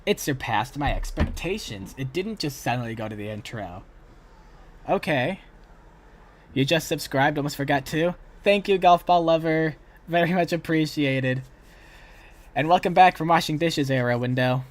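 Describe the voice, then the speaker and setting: A man's voice: fairly high pitch, moderate pace. Casual man hosting a livestream. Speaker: livestream host. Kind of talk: casual reaction stream chat.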